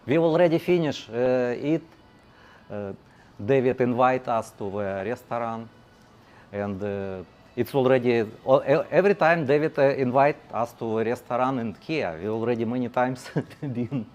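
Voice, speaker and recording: Man speaking halting non-native English. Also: mid-range voice, a little mellow. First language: Russian. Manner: halting non-native English, frequent fillers, laughs often. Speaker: channel host. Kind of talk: casual chat.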